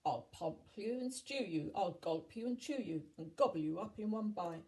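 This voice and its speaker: A woman voicing a grumpy, greedy male storybook giant with booming, emphatic delivery. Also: expressive, normal speed, a little mellow. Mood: gloating. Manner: booming, emphatic chanting and grumbling.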